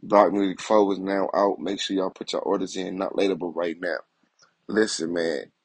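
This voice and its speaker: A man's voice: sits low, steady tone, moderate pace, breathy edge, no room sound. A young man hosting a casual news commentary vlog, streetwise and leaning on "you feel me" after nearly every line.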